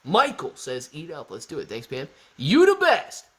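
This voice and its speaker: Playful, animated man in his thirties. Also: a little high, moderate pace. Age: 30s. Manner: playful, animated, jokey banter with chat. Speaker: livestream host reading viewer chat. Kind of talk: live stock market Q&A.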